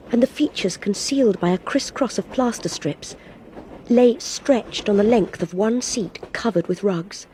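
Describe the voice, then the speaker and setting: An actress playing a polite, curious, sharp-eyed young Englishwoman in a radio drama, speaking in a crisp, inquisitive way. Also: lightly acted. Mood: horrified, hushed. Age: young adult. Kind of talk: radio drama acting with first-person narration. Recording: train rumble and noise behind.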